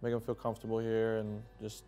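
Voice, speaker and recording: A young man from Wisconsin with a low, gravelly, dark voice who talks quickly and very flatly. Soft music plays underneath. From Wisconsin, USA. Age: young adult. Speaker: goalkeeper. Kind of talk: documentary interview.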